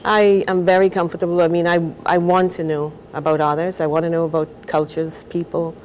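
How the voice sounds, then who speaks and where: An older woman from Guyana, a novelist, talking and reading aloud in a measured, thoughtful way on a TV studio set. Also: on the low side, level tone, darkish tone, slight background noise. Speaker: novelist guest.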